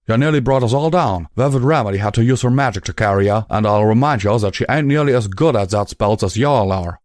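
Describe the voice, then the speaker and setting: A man voicing a gruff, protective male pegasus with a folksy drawl, scolding a friend. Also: on the low side, fairly quick, darkish tone, no echo, clean studio sound, lightly acted. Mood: angry.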